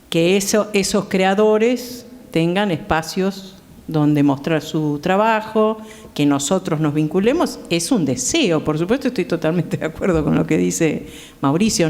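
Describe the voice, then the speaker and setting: A woman's voice: deep voice, darkish tone. Heard in a hall with long reverb, a woman with a Rioplatense Argentine accent takes part in a panel talk. She is conversational and slightly tentative, reshaping her sentences as she goes. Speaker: panel guest. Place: theatre, speaking on stage.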